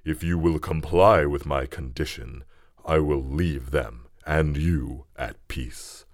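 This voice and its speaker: A man's voice: rumbling low, no echo, clean studio sound, exaggerated voice. A man voicing a wretched vengeful created creature. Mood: grave, menacing. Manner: slow, grave, mournful and menacing. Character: wretched, vengeful male creature made by a scientist.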